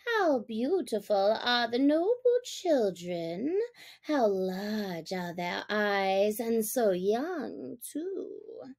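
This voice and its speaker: A woman's voice: medium pitch, sing-song, a little mellow, heavily acted. A woman voicing a sly male jackal scavenger in a storybook, fawning and mock-humble with a spiteful streak. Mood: oily flattery. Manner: obsequious, mock-humble, drawn-out wheedling.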